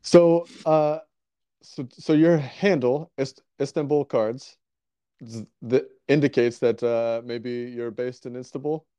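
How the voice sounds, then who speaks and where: A man hosts a relaxed interview podcast, with a mid-range voice and loose, rambling talk. The sound is dry and close, with no room around it. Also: medium pitch, slow pace, clear, resonant tone, no echo. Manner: easygoing, rambling, many fillers and restarts. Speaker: podcast host. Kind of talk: casual interview.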